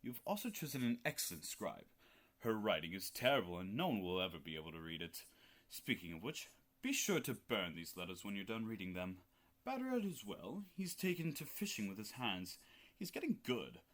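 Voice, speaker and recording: Young man acting low-voiced haughty, critical uncle. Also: big pitch swings, moderate pace, a bit bright. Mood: haughty, disdainful. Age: young adult. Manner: disdainful, formal. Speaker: student actor. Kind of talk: radio play letter reading.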